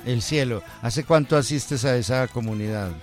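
A man, a priest, gently interviewing a guest about her faith and reading the day's Gospel solemnly. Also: medium pitch, normal speed, faint music behind. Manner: gentle, affirming, reads scripture solemnly. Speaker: priest hosting.

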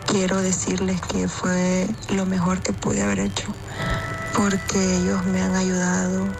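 Creaky, even-toned Salvadoran woman testifying. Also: mid-range voice, poor audio. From San Miguel, El Salvador. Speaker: client giving a testimonial. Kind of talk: personal testimonial. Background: music.

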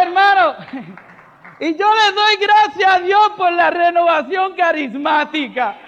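A man preaching a charismatic sermon, fervent and rising to shouted refrains, with joking asides and soft laughs. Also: very high-pitched. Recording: reverberant hall, dull lo-fi sound.

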